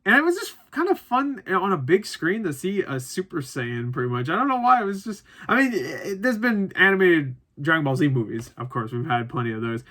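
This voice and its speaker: A man's voice: fairly high pitch, sing-song, brisk, few pauses, sharp tone. A man of thirty giving a casual, unscripted movie review as host, rambling conversationally and swearing casually.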